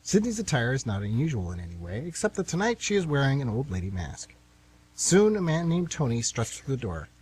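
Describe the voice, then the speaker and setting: A man's voice: mid-range voice, sing-song, fairly quick, a little mellow, slight background noise. A man narrating and announcing a scripted radio theatre play.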